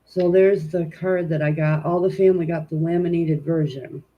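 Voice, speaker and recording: A woman with a stuffy, congested nose hosting a tarot reading live stream at home, chatting informally with viewers and filling pauses with "um". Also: deep voice, fairly even intonation, lo-fi sound. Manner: informal and chatty, many "um" fillers, greets viewers. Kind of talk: casual live-stream chat and card reading.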